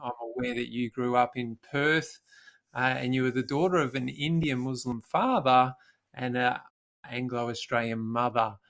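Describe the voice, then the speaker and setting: A man's voice: mid-range voice, a bit bright. Man, podcast co-host, mid-range voice, hesitant, lots of fillers. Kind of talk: interview question.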